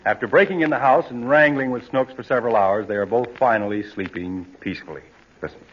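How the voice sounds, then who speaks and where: A man's voice: medium pitch, fast talker. A man announcing scripted narration in a vintage radio style. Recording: dull old radio recording, faint noise.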